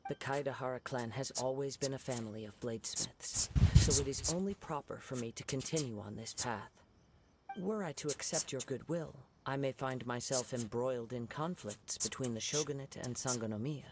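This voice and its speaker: A man voicing a calm, poetic young wandering swordsman in a video game, soft-spoken and formal. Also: medium pitch, normal speed, noisy background, lightly acted.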